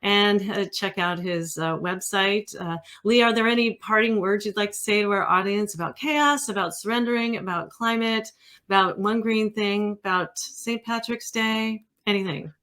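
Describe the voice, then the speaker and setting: A woman's voice: mid-range voice, normal speed, a bit bright. Informal, playful woman hosting a chat. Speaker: interview show host. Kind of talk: casual chat.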